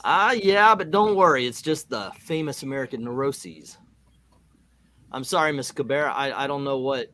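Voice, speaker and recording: A man's voice: mid-range voice, normal speed, sharp tone, lightly acted. A man playing a nervous, self-doubting American superhero captain, hesitant and muttering, in an amateur comic book reading. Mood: awkward, uneasy.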